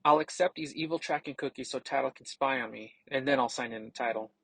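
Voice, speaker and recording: A man with a creaky, gravelly voice and a husky edge walks through a product demo. He speaks at a middle pitch in a casual, explanatory way, like a tech reviewer reading his own script. The sound is close and dry. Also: quick pace, fairly bright tone, dry recording.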